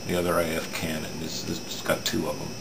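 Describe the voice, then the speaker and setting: A middle-aged man giving an unscripted hands-on repair demonstration in a halting, matter-of-fact way, recorded at an indoor workbench. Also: a bass voice, vocal fry. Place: indoor home electronics workbench. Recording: steady room hum, air-conditioning noise.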